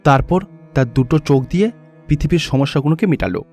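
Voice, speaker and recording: A man with a high-pitched voice tells a scripted cartoon tale as narrator. Music plays clearly behind his storytelling. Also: expressive, brisk, darkish tone.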